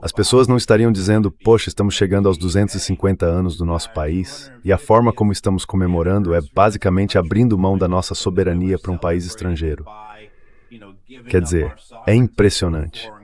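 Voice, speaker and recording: A man's voice: low-pitched, fairly even intonation, a little fast, darkish tone, lightly acted. A man voicing a translated panel guest, giving conversational political commentary as a dubbed voice-over.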